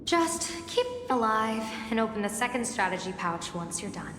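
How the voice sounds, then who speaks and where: Echoing, lofty strategist mentor. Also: female voice, a little high, very animated, moderate pace, over music. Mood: assured, instructive. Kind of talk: video game voice acting.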